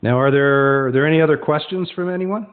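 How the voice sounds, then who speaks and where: A man's voice: a little high, fairly quick, slight background noise. Dull-sounding webinar recording, conversational man. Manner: conversational, explanatory, frequently self-correcting. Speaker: presenter of an online product demonstration. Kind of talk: informal webinar presentation with question and answer. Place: online web conference. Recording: dull, lo-fi online webinar audio.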